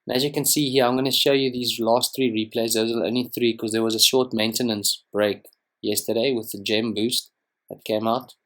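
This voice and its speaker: A young man whose first language is Afrikaans gives relaxed, rambling commentary over strategy game footage, with frequent ums and restarts. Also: mid-range voice, a little fast. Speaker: gaming channel host. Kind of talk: casual gameplay commentary.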